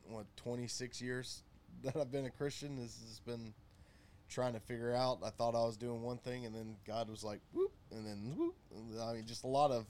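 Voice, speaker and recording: A man in his thirties talking casually and laid-back, pausing often with fillers as he tells his story. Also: mid-range voice, animated, a little mellow. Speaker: guest. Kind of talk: casual chat.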